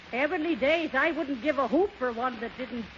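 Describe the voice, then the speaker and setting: A woman's voice: high voice, moderate pace, a bit bright. An actress playing a down-to-earth, good-humored, wry woman in 1930s radio comedy style. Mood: wry, homespun. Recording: muffled, noisy 1930s radio recording.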